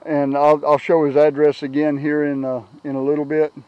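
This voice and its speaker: A middle-aged man giving a casual, unscripted product walkthrough outdoors on a camera mic, halting and repeating words as he goes. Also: a little high, completely flat delivery, clean tone, lo-fi sound. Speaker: product reviewer, kayak owner. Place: outdoors on a grassy yard. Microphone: handheld camera's built-in microphone.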